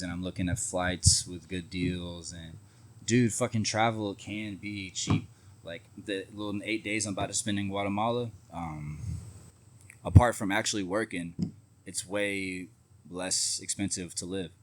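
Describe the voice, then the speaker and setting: A man talking casually as an interview guest, blunt and profane, rambling with lots of fillers. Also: deep voice. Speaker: independent wrestler, guest.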